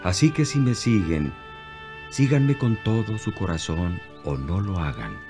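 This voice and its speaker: Calm holy teacher, low voice, widely varied intonation, solemn and authoritative, animated Bible film. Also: male voice, music in the background, full character voice. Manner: solemn, measured, declarative.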